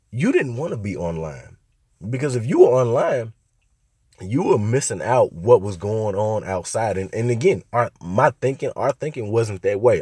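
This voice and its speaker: A young man from Arkansas hosting a casual solo podcast, talking in a laid-back, rambling way with lots of fillers, his voice a little hoarse from a cold. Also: on the low side, a little mellow, no room sound, studio quality. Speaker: podcast host.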